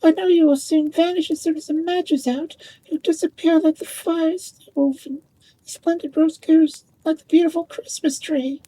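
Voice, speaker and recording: A man's voice: very high-pitched, fairly even intonation, normal speed, mellow, pitched above the performer's own voice. A man voicing a poor little girl who pleads desperately in a fairy tale reading. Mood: desperate pleading. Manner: pleading, exclaiming.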